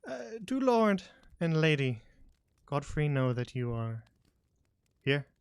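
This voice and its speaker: Man voicing a pompous lord, slow, lofty, sarcastic. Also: fairly high pitch, sing-song, gravelly, clean tone, dry recording, pitched above the performer's own voice, full character voice. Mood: haughty. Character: haughty aristocratic male lord, sarcastic.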